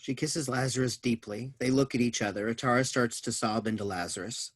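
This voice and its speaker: A man's voice: medium pitch, steady tone, a little fast, breathy edge, no echo. A man giving scripted narration as the reader of stage directions.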